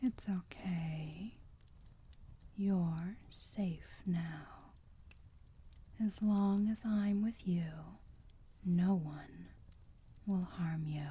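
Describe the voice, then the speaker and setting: A woman acts out a doting female vampire who whispers in an ASMR roleplay. Her voice is very breathy and extremely dark and soft, and she takes her time with every phrase, cooing with motherly, protective tenderness. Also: fairly low pitch, steady tone, exaggerated voice. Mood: soothing, protective. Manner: soft, slow, cooing, soothing.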